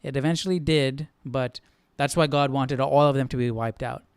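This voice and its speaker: Clear-voiced man casually hosting a livestream study. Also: mid-range voice, fairly even intonation, normal speed, dry recording, studio quality. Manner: informal, thinking aloud, often checking with "right?". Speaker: host of a live Bible study stream. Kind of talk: casual live commentary on scripture.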